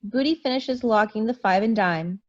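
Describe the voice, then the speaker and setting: A woman's voice: mid-range voice, moderate pace. A woman reading stage directions as scripted narration in a play table read over a video call. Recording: muffled video-call audio.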